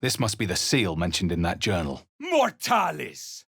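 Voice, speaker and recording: A man voicing a wary, brooding adventurer hero in a fantasy video game, narrating in the first person with dramatic, measured intensity. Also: lowish voice, wildly swooping pitch, gravelly, fairly bright tone, slightly rough, no room sound, studio quality, exaggerated voice. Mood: forceful incantation. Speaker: video game player character voice.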